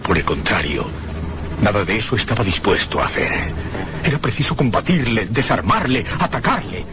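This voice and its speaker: Low-voiced, haunted horror narrator. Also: male voice, sing-song. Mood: haunted dread. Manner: theatrical, deliberate phrasing. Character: haunted, dread-filled man recounting his ordeal. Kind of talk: dramatic radio horror narration.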